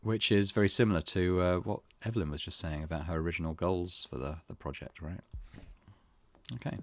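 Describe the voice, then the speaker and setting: A man's voice: low-pitched, a little fast, no room sound, clean studio sound. A man hosting a let's play, reading game text aloud and adding his own commentary.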